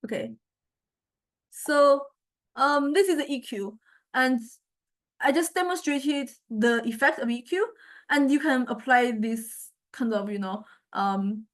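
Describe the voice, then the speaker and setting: A woman lecturer with a fairly high voice walks through a software demonstration. She talks informally and thinks aloud, often stopping and correcting herself as she explains each step. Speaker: music technology lecturer.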